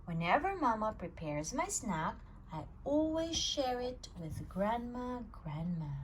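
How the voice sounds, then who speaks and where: A woman reading a children's picture book aloud at storytime, telling it with an expressive, swinging voice. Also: fairly high pitch, slow, slight background noise, rough recording. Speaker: storytime reader.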